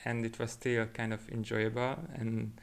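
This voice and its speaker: A man from Hungary with a Hungarian accent, chatting reflectively as a podcast guest and thinking aloud in a halting way. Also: medium pitch, completely flat delivery, vocal fry. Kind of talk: casual reflective chat.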